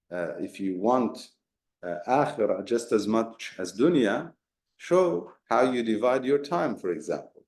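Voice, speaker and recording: Male Quran teacher, mid-pitched, earnest explainer, lots of rhetorical questions, slight fry, roomy echo. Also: a little mellow. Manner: mixes Arabic terms into talk. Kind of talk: explanatory religious lesson. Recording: echoey room.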